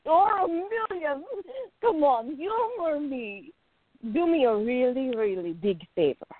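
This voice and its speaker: A woman's voice: high-pitched, lively intonation, slow, dry recording, narrow, tinny audio. A woman playing a smitten, eager, affectionate young woman in a radio play. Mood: coaxing.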